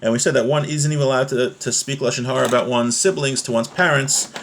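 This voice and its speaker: A man teaches an informal religious class in a medium-pitched voice. He moves between reading a text aloud and explaining it in everyday words. He restates each point step by step, as a teacher would. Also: fast, slight background noise.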